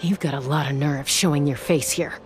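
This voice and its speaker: An actress voicing a sharp, level-headed young heroine in a video game who talks in a measured, reasoning way. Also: female voice, sits low, fairly even intonation, over music, lightly acted. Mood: defiant. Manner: measured, deductive.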